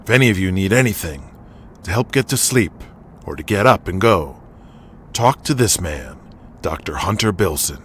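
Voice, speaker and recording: A man's voice: low voice, animated, moderate pace, fairly bright tone, a little hiss or hum. A man playing a shrewd, controlling pro-wrestling promoter in an audio drama, businesslike and authoritative. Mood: commanding.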